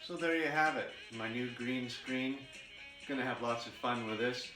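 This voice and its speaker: A man giving a casual unboxing commentary to camera at home, speaking in deliberate, piecemeal phrases. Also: medium pitch, music in the background. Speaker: vlogger, radio show performer. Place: room in his house. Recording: roomy echo, distant mic, faint noise.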